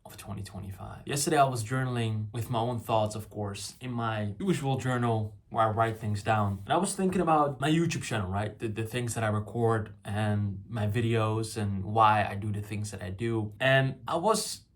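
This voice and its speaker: A man's voice: fairly low pitch, moderate pace, few pauses. A young man whose first language is Farsi, rambling through a reflective solo vlog with many fillers and restarts. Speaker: YouTuber. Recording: loud fireworks and clicks behind.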